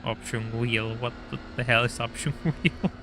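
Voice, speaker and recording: A man's voice: mid-range voice, fairly even intonation, measured pace, background noise. A young man from Argentina, chatting casually while streaming a racing game, laughing often and stammering over repeated words. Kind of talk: casual live-stream chat and gameplay commentary.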